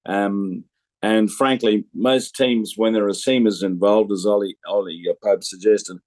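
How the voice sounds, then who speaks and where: A man with a fairly low voice talks as a cricket pundit. He is conversational and anecdotal, pausing and restarting as he builds his point. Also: steady tone, darkish tone, no echo. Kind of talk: conversational sports commentary.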